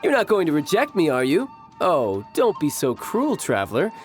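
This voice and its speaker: A man's voice: on the high side, huge pitch swings, normal speed, faint music behind. A man playing a young male adventurer in a video game, self-assured, playful and teasing. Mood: confident, coaxing. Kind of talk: game cutscene acting.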